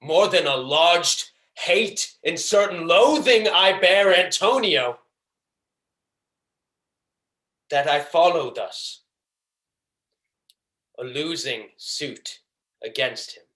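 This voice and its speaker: A young man playing a proud, vengeful merchant villain in a Shakespearean stage monologue, declaiming deliberately and tauntingly. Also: on the high side, animated, fairly bright tone, pitched above the performer's own voice. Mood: cold hatred. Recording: echoey room.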